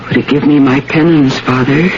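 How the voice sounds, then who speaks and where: An actress playing a guilt-ridden village woman in a 1970s radio drama, solemn and imploring, with halting, insistent delivery. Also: very deep voice, fairly even intonation, background noise. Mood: pleading. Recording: muffled vintage radio broadcast, prominent music.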